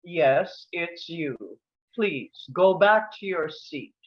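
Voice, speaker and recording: Woman playing a measured, officious male flight attendant, dull audio. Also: fairly low pitch. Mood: exasperated. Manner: firm, officious. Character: strict, exasperated male flight attendant named Steve. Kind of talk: screenplay table read, comedy acting.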